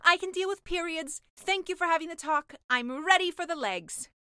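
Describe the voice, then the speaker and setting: A woman's voice: extremely high pitch, normal speed, pushed and bright, no echo, clean studio sound, voice pushed up. A woman voicing a naive, eager and impatient young mermaid with a perky, guileless manner in a comic fairy-tale audiobook.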